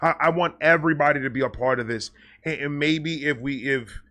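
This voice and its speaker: A man talking casually and off the cuff to his viewers, excitable and rambling, often repeating and stammering over words, with slangy, sweary phrasing. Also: fairly high pitch, brisk. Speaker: online video creator and host. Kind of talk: casual unscripted vlog talk to viewers.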